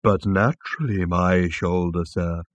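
A man voicing a large, contented talking bovine for a storybook, slow, courteous and gently persuasive like an obliging waiter. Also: deep voice, steady tone, dry recording.

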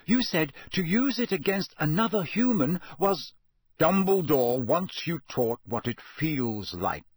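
Gruff old wizard teacher, done by a male audiobook reader, growly, barks orders, pitched up a bit from his narrating. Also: sing-song, a little slow, no room sound, clean studio sound, exaggerated voice. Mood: stern. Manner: barking, forceful orders.

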